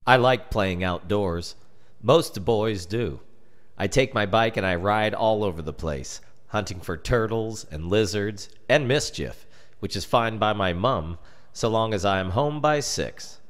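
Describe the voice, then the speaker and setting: An American man narrating a scripted children's horror story in a deliberate, dramatic storytelling manner. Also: fairly low pitch, fairly bright tone.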